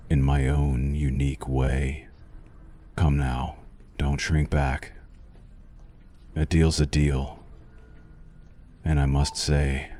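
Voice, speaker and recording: Male actor as vampire doctor for ASMR: extremely low, mellow voice, formal, possessive, softly menacing. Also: slight background noise. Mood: hungry, coaxing. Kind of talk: ASMR roleplay, scripted monologue to the listener.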